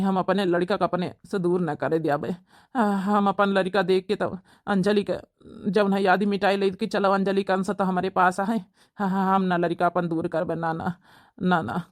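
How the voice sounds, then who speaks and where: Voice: medium pitch, no echo, studio quality, natural voice. A performer playing an earnest, devoted young village man with an Awadhi accent in a family cartoon. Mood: anguished. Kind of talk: family drama cartoon dialogue.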